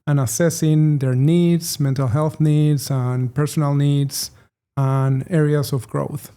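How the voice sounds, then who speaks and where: A man's voice: fairly high pitch, level tone, takes it slowly, mellow, clean tone. A man in his thirties from Chile, a native Spanish speaker, answering interview questions as a clinical psychologist in a thoughtful, self-deprecating way.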